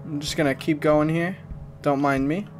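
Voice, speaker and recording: A man in his twenties talks casually through a horror game in a fairly high-pitched voice. His manner is wry and jokey, addressed to his viewers. Light music plays behind his close mic. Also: steady tone, moderate pace, a little mellow. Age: 20s. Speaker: gaming streamer. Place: small indoor streaming room.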